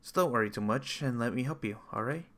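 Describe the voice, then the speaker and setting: Man voicing a gentle, earnest, polite young girl. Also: mid-range voice, quick pace, lightly acted. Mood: earnest, cheerful.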